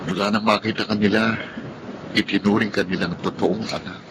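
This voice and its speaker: A man playing a gentle, earnest, protective blind older brother in a Filipino radio drama. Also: fairly low pitch, little pitch movement, fairly quick, natural voice. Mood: earnest, reassuring. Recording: clear background ambience noise.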